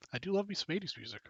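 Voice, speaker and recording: A man's voice: on the high side, gravelly, husky, no echo. A man hosting a live card break stream, chatting casually and joking with viewers while reading out cards and names. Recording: dull lo-fi stream audio.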